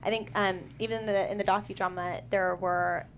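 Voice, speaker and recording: A woman screenwriter talks through her work in a relaxed panel chat. She rambles a little, full of fillers and restarts, in a mid-range voice. A faint hiss sits under the recording. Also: medium pitch, fairly quick. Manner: chatty, many fillers and restarts. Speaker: screenwriter guest. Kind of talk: casual panel discussion.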